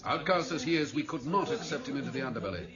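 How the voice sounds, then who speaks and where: A man playing a grave, commanding leader of a criminal underworld in a radio play. He speaks formally and with authority, his pitch moving widely for dramatic weight. The recording is slightly dull and boxed in. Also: animated, fast, natural voice.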